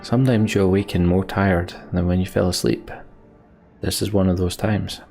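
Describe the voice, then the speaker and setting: A man's voice: deep voice, flat delivery, moderate pace, gravelly, darkish tone, faint music behind. A man reading game text aloud in a let's play, with frustrated asides. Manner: plain narration, frustrated asides.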